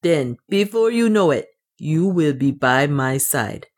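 A woman's voice: low voice, animated, slow, exaggerated voice. A woman voicing a loyal, brave dwarf manservant in an audiobook adventure, speaking in an earnest, deferential and practical way. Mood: instructing. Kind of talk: dramatised audiobook dialogue.

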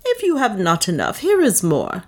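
A woman's voice: mid-range voice, wildly swooping pitch, normal speed. A woman voicing a swaggering male adventurer in an audiobook, bold, careless and commanding. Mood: hearty swagger. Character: swaggering male adventurer posing as a rich slave trader.

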